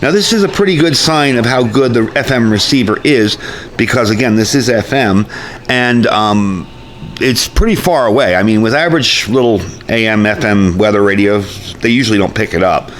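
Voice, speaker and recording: A man with a low voice talks through a product review in a chatty, informal way. His phrasing is folksy and plain. There is noticeable background noise on the recording. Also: moderate pace. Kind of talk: casual product review to camera.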